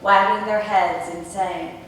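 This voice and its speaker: A woman's voice: steady tone, slow, a bit bright. A woman narrating a Bible passage aloud in a dramatic church reading. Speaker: scripture reader. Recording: echoey church hall, distant mic, faint rumbling noise.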